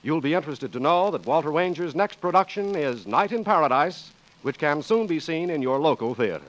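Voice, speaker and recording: A man's voice: on the high side, lively intonation, a little fast, muffled recording. A man announcing in a formal, polished, emphatic 1940s radio style, reading scripted copy. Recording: vintage radio broadcast, music behind.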